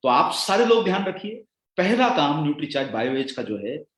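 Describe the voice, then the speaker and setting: A man giving a product training talk, animated and emphatic, often addressing his listeners as colleagues. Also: fairly high pitch, fairly quick, reverberant.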